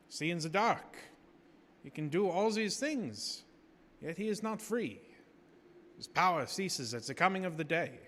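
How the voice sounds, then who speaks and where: An actor playing a grave, commanding professor who hunts vampires, in solemn, oratorical radio drama style. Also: male voice, on the high side, fairly bright tone. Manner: solemn, emphatic, oratorical, measured. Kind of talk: theatrical live radio drama acting. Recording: live theater stage, reverberant hall, music behind.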